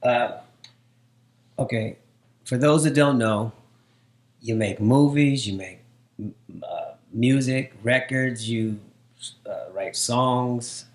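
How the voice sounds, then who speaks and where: A man's voice: mid-range voice, slow pace, pauses often. A man hosting a casual podcast interview, with enthusiastic, emphatic introductions. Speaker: podcast host.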